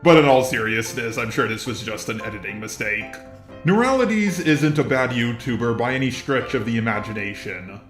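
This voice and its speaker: A man's voice: mid-range voice, fairly bright tone, reverberant. A man delivering scripted video commentary as a narrator.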